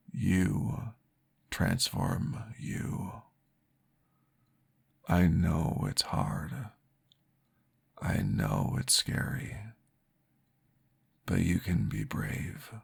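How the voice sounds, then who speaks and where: A man voicing a calm, patient male vampire therapist, soft-spoken and reassuring, often dropping into a whisper in an ASMR roleplay. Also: sits low, extremely slow, long pauses, exaggerated voice. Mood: soothing whisper. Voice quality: gravelly, husky. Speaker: therapist guiding a listener.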